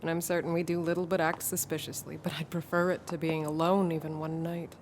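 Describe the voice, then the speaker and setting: A woman playing a haunted, secretive and gravely urgent intellectual with formal, theatrical diction in a radio horror drama. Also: low voice, level tone, a little fast, slight background noise, lightly acted. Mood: guarded.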